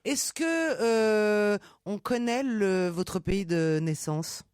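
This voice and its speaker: A man's voice: high voice, drawn-out words, clean tone, dry recording, clean studio sound. A man asking questions casually as a panelist in a radio game.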